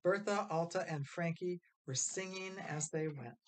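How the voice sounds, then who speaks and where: A woman reading a children's story aloud as expressive scripted narration. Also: on the low side, a little slow. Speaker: storybook reader.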